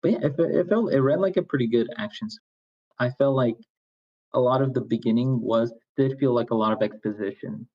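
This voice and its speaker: Muffled lo-fi mic. Male discussion participant, medium-low everyday voice, informal, tentative, rewording as he goes. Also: brisk, a little mellow. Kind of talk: casual chat.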